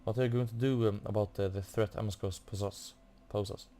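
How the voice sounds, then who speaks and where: A man giving casual playthrough commentary as a gaming video host, halting as he searches for words. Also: fairly low pitch, steady tone, warm tone, slight background noise.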